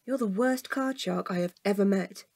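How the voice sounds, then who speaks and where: A woman's voice: medium pitch, normal speed, vocal fry, a little mellow. A woman playing a bored, bossy, prickly woman in an audio drama, with a dry and blunt manner. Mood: irritated. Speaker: actor.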